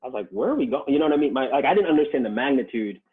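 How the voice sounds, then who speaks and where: A man's voice: mid-range voice, animated, rapid-fire. A young man born in Japan, a podcast guest, chatting in a laid-back way with many fillers and laughs. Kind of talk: casual podcast conversation. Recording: tinny phone-like line.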